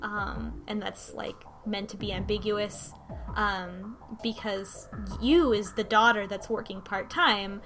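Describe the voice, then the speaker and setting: A woman talking casually in a group discussion, recapping a game in a halting way and often restarting mid-thought. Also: mid-range voice, a bit bright, faint music behind. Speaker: podcast host recapping a visual novel.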